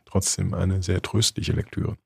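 A man co-hosting a book podcast, talking in a thoughtful, halting way with many fillers and restarts. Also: deep voice, level tone, vocal fry, breathy edge, no echo, clean studio sound. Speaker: podcast co-host, book critic. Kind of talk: casual book discussion.

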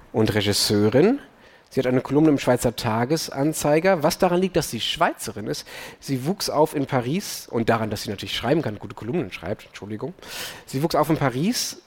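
Chatty male moderator, mid voice. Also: mid-range voice. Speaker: podcast host and moderator. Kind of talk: casual live panel chat.

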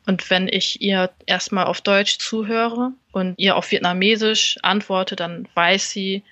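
A woman talks as a stand-up comedian guest on a relaxed podcast. She explains things thoughtfully and at length and laughs easily. Her voice sits in a middle range, recorded close and dry. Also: medium pitch, unhurried, no room sound, highs cut off. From Germany. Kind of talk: casual podcast conversation.

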